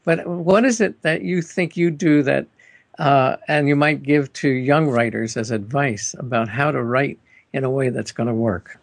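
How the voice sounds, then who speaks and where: A man's voice: medium pitch, unhurried, creaky, no echo. A man hosting a radio interview who asks long, rambling questions full of hesitations. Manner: rambling, hesitant, many fillers and restarts. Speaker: radio show host.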